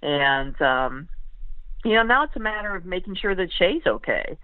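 Tinny phone-line audio, higher-pitched male sportswriter, casual conversational commentary, thinking aloud. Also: big pitch swings, normal speed, dry recording. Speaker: sportswriter guest.

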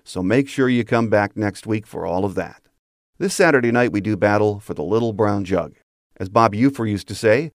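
A man's voice: fairly low pitch, a little mellow, dry recording. A man hosting a sports podcast, delivering scripted show notes and sign-offs. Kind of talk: scripted sports show narration and interview wrap-up.

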